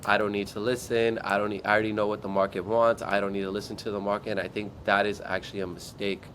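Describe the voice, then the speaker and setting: A man from Guam, a startup founder, telling his story in an interview in a relaxed way and acting out his past thoughts. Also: on the low side, fast, a little hiss or hum. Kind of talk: interview answers.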